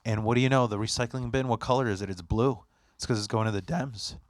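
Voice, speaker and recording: A man's voice: medium pitch, fast talker, no echo, clean studio sound. A man whose first language is Polish, hosting a podcast in casual chat and telling rambling, profane stories with lots of restarts. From Poland. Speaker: podcast host.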